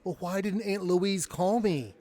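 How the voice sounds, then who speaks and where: A man acts out an excitable young male theater hand in an audio drama. His voice sits a little high. He is informal and exclamatory, stretching his words out slowly. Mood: alarmed.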